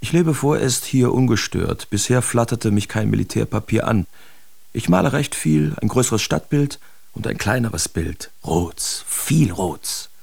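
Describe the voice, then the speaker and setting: A man's voice: on the low side, creaky, breathy edge, heavily acted. An actor playing a brash, cynical big-city painter declaiming his feverish letters in a dramatic radio reading.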